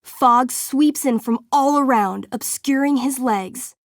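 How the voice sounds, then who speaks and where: A woman's voice: sits high, no room sound, studio quality. A woman voicing a young game master in a video game, narrating a fantasy tabletop adventure with playful drama and suspense. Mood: suspenseful, dramatic. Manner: theatrical, suspenseful, deliberate storytelling.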